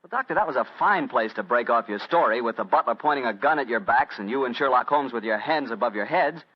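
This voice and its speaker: A man's voice: mid-range voice, expressive, quick pace. A man hosting a radio show in a chatty, scripted, old-time announcer style. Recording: dull, muffled old radio recording.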